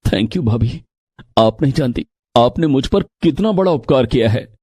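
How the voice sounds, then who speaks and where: A man voicing an earnest young family man in a dramatized storybook tale, with a husky voice. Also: mid-range voice, animated, a little slow, darkish tone, no echo, studio quality. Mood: emotional. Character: young husband and his brother, earnest family men.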